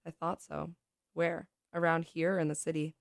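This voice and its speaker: A woman's voice: sits low, flat delivery, normal speed, creaky, dry recording, clean studio sound. A woman voicing a brash, teasing teenage girl from the neighborhood, streetwise and a little snotty.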